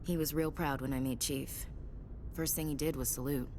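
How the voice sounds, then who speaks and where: A woman's voice: a bass voice, fairly even intonation, moderate pace, background noise. A woman playing a tough, candid soldier and protective big sister in a video game, frank and conversational. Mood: fond, open. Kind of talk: naturalistic video game dialogue acting.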